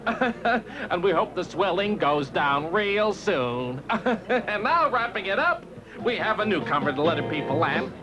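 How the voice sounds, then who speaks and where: A snide male TV host from a children's puppet cartoon show. His voice sits high and leaps around with lively intonation. He is pompous and chuckles at his own remarks, with music behind him. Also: low-quality recording. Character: gleefully snide male TV show host, self-important star. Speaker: master of ceremonies. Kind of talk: showbiz announcing.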